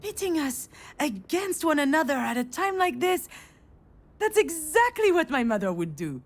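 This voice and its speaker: A wry overwhelmed daughter in a narrative game, exasperated, halting, voice swings up and down. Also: female voice, high-pitched, big pitch swings. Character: sardonic, overwhelmed daughter of a famous artist. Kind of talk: naturalistic video game voice acting with inner monologue.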